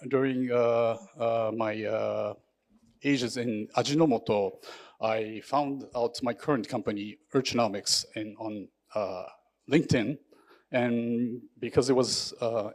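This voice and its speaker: A man from Japan in his thirties, introducing himself on a panel in halting speech full of fillers and restarts. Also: medium pitch, darkish tone. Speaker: panelist, startup executive.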